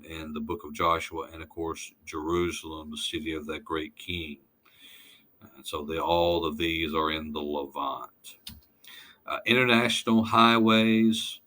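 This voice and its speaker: A man lecturing informally over slides, halting and deliberate, putting weight on key words. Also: sits low, pushed and bright.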